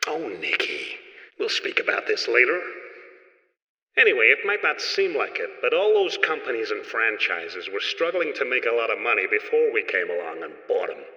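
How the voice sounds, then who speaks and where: A man's voice: mid-range voice, big pitch swings, normal speed, sharp tone. An actor in an audio play voicing a ruthless, scheming male corporate villain with a smug, menacing, theatrical manner. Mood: smug, gloating. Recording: dull lo-fi, long hall reverb.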